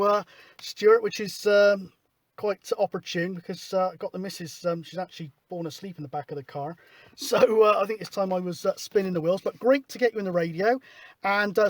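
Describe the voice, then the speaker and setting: High-pitched man on CB radio. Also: dry recording. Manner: informal, matey. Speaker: CB radio operator, host. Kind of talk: casual radio chat. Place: outdoors at a hilltop spot, mobile radio setup.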